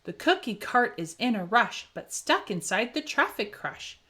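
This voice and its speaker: Woman, children's story reader, higher-pitched, unhurried, rhythmic, expressive, child-directed delivery. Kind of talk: expressive picture-book read-aloud.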